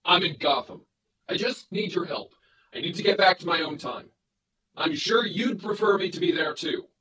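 A woman's voice: fairly low pitch, moderate pace, fairly bright tone, roomy sound, recorded from a distance, lightly acted, rough recording. A woman reading a story aloud, voicing a brash young male vigilante who talks bluntly and swears. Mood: urgent.

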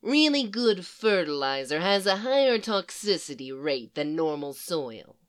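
A woman's voice: on the low side, measured pace, clean tone. Woman doing a patient, matter-of-fact psychiatrist. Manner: matter-of-fact, even, unflappable. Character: calm, patient man, a literal-minded psychiatrist.

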